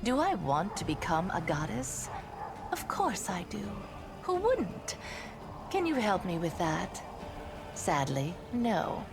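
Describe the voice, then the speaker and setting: A sly female demon from a fantasy game, speaks in a lowish voice that swoops and lilts. She is theatrical, self-assured and mockingly polite. Loud music plays behind her. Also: wildly swooping pitch, slow pace, full character voice. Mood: smooth, condescending. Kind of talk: video game voice acting.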